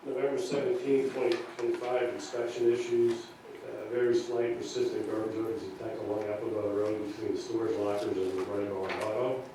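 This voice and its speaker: A man reading a report aloud at a public meeting in a halting, monotone way. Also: on the low side. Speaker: meeting participant presenting inspection reports. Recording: echoey meeting room, distant mic, noisy.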